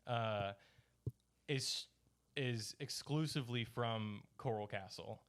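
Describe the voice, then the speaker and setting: Clear-voiced man chatting casually. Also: mid-range voice. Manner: halting, searching for words. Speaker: podcast host. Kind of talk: casual chat, rambling storytelling.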